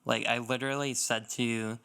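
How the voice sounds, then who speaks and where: Clear, bright young man, even delivery. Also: medium pitch, moderate pace. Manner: informal, conversational. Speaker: podcast co-host. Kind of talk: casual gaming chat.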